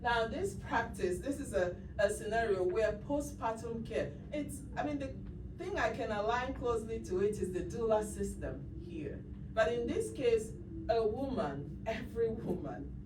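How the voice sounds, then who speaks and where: A woman's voice: on the high side, animated, normal speed. Woman giving a casual conference talk, kinda far from the mic, room noise behind. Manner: conversational, thinks aloud with restarts. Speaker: conference speaker. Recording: roomy sound from off-mic with clear background noise.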